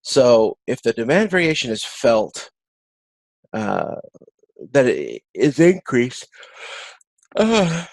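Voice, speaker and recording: A man giving an informal online lecture as an instructor, explaining in a halting way and often rephrasing himself. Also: medium pitch, sing-song, creaky, no room sound. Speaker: course instructor. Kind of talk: informal recorded video-conference lecture with slides.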